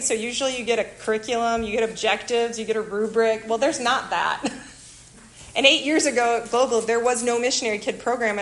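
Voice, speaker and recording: Chicago woman, mid-high voice, chatty, animated presenter with self-deprecating asides. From Illinois, USA. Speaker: missionary kids program leader. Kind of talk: informal church presentation to a group.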